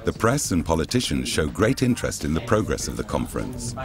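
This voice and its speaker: A man with a very deep voice narrates a promotional film, reading his script in a formal, measured way. His pitch moves freely across a wide range. Clear music plays behind him. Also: big pitch swings. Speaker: documentary narrator. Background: music bed.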